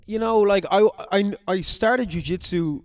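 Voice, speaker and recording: Young guy fighter on a podcast, kinda high smooth voice, lots of um and like. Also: no room sound, clean studio sound. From raised in Ireland, born in America. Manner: halting, many fillers and restarts. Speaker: guest, amateur MMA fighter. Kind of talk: casual interview chat.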